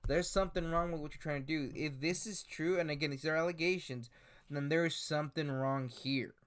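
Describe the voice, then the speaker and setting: A man's voice: on the high side, fairly quick, clean tone. A man in his twenties giving casual commentary on screenshots and articles, hesitant, with many fillers and restarts. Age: 20s. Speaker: commentary YouTuber.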